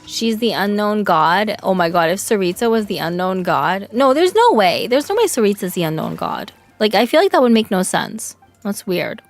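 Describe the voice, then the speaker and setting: A young woman streaming a game, chatting casually with her chat, swearing and yelling in excitement now and then. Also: medium pitch, normal speed, hardly any pauses, faint music behind. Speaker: VTuber streamer. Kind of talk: casual gaming livestream chat.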